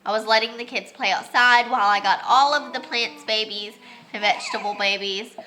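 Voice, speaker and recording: A woman's voice: on the high side, fairly quick, bright, forceful tone, slight background noise, rough recording. A young woman, congested and losing her voice, chatting casually with many ums as she vlogs a homeschool lesson. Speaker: homeschooling mom. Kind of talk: casual home vlog lesson. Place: family home with children.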